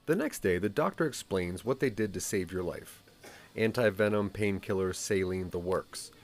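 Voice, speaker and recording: Male narrator for interactive audio drama, dramatic storyteller, lower register, animated delivery. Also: normal speed. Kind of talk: scripted second-person audio drama narration.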